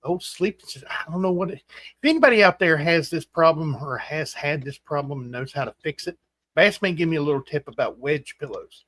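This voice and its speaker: A man in his forties hosting a casual livestream chat, rambling and halting with fillers and restarts. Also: a little high, expressive, fairly bright tone, no room sound. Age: 40s. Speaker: host.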